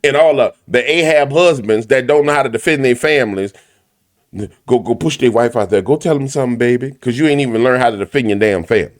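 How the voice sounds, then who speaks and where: Loud, preachy man ranting on a show. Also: mid-range voice, fast talker. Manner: emphatic, repetitive, preacher-like cadence; loud, big voice. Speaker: commentary show host. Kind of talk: fiery commentary rant.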